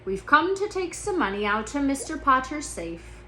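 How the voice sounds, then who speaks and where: A woman's voice: sits high, very animated, moderate pace, bright, forceful tone, slight background noise, pitched above the performer's own voice, exaggerated voice. A woman voicing a big, hearty, good-natured man with an informal way of talking, in a storybook.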